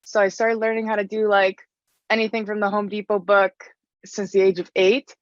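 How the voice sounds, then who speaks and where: A young woman answers interview questions in a loose, chatty way, dropping "like" between phrases. Her voice sits at a middling pitch. The recording is dry and a little muffled. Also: flat delivery, normal speed, no echo. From Canada. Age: young adult. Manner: chatty, frequent "like" fillers. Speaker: interview guest, stone mason.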